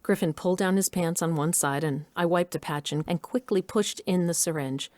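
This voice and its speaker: A woman's voice: on the low side, moderate pace, darkish tone, no echo, close to an everyday voice. A middle-aged woman playing a determined, wry mother who narrates her own adventure in an audio drama. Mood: measured storytelling. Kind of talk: first-person scripted narration.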